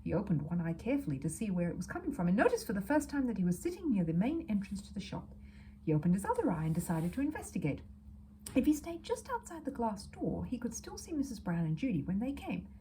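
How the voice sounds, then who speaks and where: A woman reading a children's book aloud as a storyteller, expressive and dramatic, dropping into hushed asides. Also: mid-range voice, fast, slight background noise, low-quality recording. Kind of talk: expressive children's audiobook narration.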